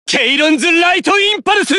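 A man's voice: very high-pitched, expressive, quick pace, sharp tone, no echo, raised voice, clean studio sound, heavily acted. A man playing a noble, devoted young knight in an anime game, earnest and solemn. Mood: solemn devotion. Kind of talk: anime game battle acting.

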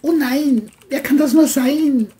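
A high-pitched, brazen male killer from a crime audio play, acted out by a woman. He lies with exaggerated courtesy and dodges every question. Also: slow pace, dark tone. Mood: dismayed. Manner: mock-polite, evasive.

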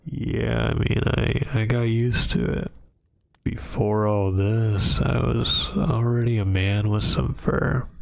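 A man voicing a brooding, menacing yet hesitant werewolf in an ASMR roleplay, with a gravelly, breathy, half-whispered voice and halting delivery. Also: medium pitch, steady tone. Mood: guarded, softening. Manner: slow, halting, brooding, with long pauses. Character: dominant male werewolf, menacing yet hesitant, fighting hungry cravings.

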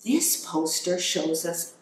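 A woman's voice: lowish voice, animated, slow, heavy reverb. A woman voicing a curious, cheerful little male hamster full of childlike wonder in a children's storybook.

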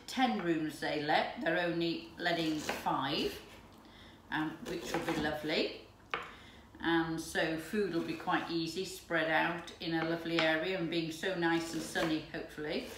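A woman in her fifties chatting excitedly to camera while cooking in her home kitchen. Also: deep voice. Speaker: lifestyle vlogger. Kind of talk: casual chat to camera. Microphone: camera's built-in mic from a few steps away. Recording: echoey room, distant mic, background noise.

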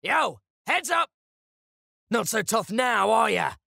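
A man voicing a cocky, brash caveman brawler for a video game, taunting in a gravelly, shouty way. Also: sits high, very animated, slow, no room sound, studio quality, full character voice. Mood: smug taunting. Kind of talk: video game character voice lines.

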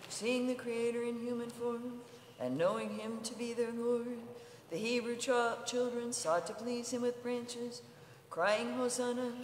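In a large, reverberant space, a woman intones liturgical prayer. Her delivery is solemn and measured, and a long, echoing tail follows her words. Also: fairly high pitch, slight background noise. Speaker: liturgical reader and chanter. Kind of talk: chanted religious prayer recitation. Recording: echoey hall with long reverb.

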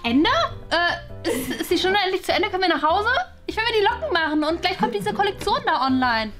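Woman as a high-pitched, whiny vain schoolgirl. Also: expressive, a little fast, music in the background. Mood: whiny, impatient. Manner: whiny, affected, girlish. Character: vain, impatient, whiny fashion-obsessed schoolgirl. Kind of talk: scripted comedy sketch acting.

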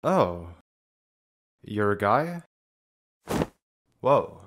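A man's voice: fairly low pitch, very animated, extremely slow, a bit bright, heavily acted. A man voicing a laid-back, handsome teenage upperclassman in a manga dub, casual and blunt. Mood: casual, surprised. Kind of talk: manga fandub acting.

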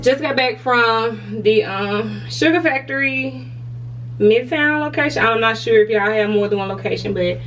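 A woman's voice: on the high side, normal speed, far from the mic, music in the background, rough recording. A young woman, talking frankly to camera in a hotel room, laughing often. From Southern US. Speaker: vlogger. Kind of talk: casual chat to camera.

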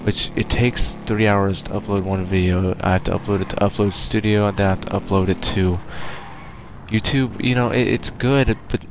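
A young man with a nasal voice, sounding sick and tired, talking quietly in a casual gaming voice-over. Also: fairly low pitch, steady tone, normal speed, gravelly, background noise. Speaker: gaming YouTuber. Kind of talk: casual gameplay voice-over commentary.

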